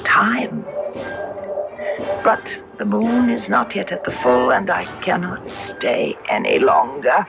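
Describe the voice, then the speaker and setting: An actress playing a mysterious, lovelorn ghost woman in an old radio horror play, speaking slowly and cryptically with eerie patience. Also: mid-range voice, sing-song, creaky, exaggerated voice. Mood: eerie, knowing. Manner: slow, cryptic, drawn-out delivery. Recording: muffled vintage radio broadcast recording. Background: dramatic background music.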